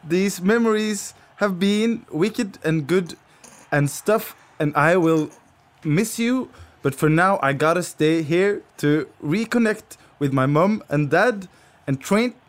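Radio play brother played by a man: Australian, earnest and odd, high voice, unhurried. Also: expressive. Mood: solemn, halting. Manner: slow, halting delivery. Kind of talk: amateur radio play cold read.

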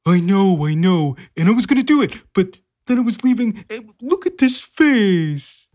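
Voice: mid-range voice, very animated, moderate pace, a little mellow, voice pushed up, close to an everyday voice. An actor as a pleading, whiny pet lover. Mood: pleading, doting.

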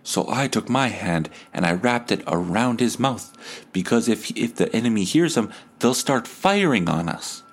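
A man hosting a podcast, telling stories in a casual, comedic, animated way with some swearing. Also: on the low side, moderate pace. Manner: animated, acts out quoted dialogue; profane. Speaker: podcast host.

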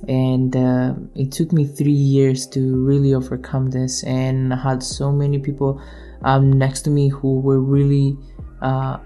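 A man's voice: medium pitch, mellow. A young man from Greece hosting his own podcast, talking in an earnest, motivational way with some hesitation. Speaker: podcast host. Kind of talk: solo podcast introduction.

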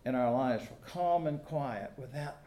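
An American man preaching a sermon in a folksy, conversational way, pausing deliberately between phrases. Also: mid-range voice, mellow. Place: church sanctuary during a service. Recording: echoey room sound.

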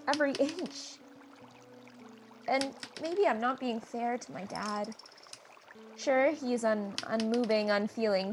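A woman's voice: a little high, noisy background, lightly acted. A woman playing a wry, frank teenage girl. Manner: wry, confiding monologue. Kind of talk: comedy radio play acting.

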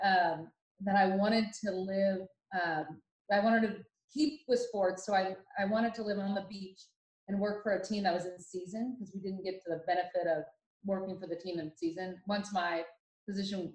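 A young woman from Ohio, a podcast guest telling her story in a chatty, animated way with many fillers and restarts. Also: mid-range voice, moderate pace. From Ohio, USA. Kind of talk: casual storytelling interview. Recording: roomy echo.

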